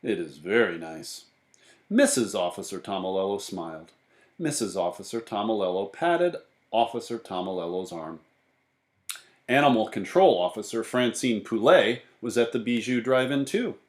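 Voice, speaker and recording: A man reading a children's storybook aloud in animated narration, recorded in an echoey room. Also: fairly low pitch, moderate pace. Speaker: book reader.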